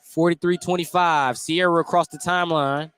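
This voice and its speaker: Higher-voiced American man calling basketball. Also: fairly even intonation, moderate pace, fairly bright tone. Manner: clipped, descriptive running call. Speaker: play-by-play announcer. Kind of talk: live radio basketball commentary.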